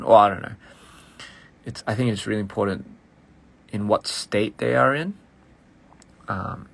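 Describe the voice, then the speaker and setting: Young male musician, low gravelly voice, fast and rambling, casual stream chat. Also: a bit bright. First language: English. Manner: rambling, halting, full of "like" and restarts; switches between English and Korean.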